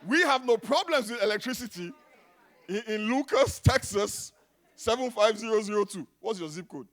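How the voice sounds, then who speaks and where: Nigerian preacher man, high voice that jumps all over, dramatic, goes quiet then yells. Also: animated, moderate pace. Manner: dramatic, swings from hushed to shouting. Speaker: pastor. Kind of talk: sermon.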